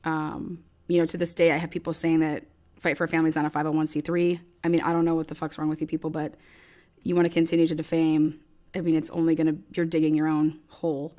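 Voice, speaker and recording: A woman's voice: sits low, completely flat delivery, fast talker, dark tone. A woman hosting a casual livestream rant, speaking in a blunt, combative, profane way. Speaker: livestream host.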